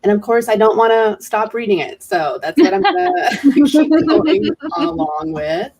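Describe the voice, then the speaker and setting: A woman's voice: on the high side, lively intonation, normal speed, over a noisy crowd. A woman co-hosting a livestream, chatting casually with the others. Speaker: co-host of a reading livestream.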